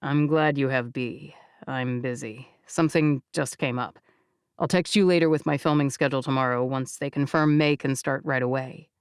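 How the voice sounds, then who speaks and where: A woman doing a low, clipped young man. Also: normal speed, smooth, dry recording, clean studio sound. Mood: curt. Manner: clipped, flat, lower. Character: cocky young man, terse and guarded.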